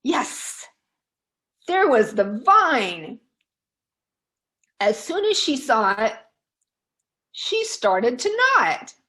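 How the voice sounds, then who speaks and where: A woman storyteller reading aloud for children in a dramatic, deliberate, expressive way. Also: high voice.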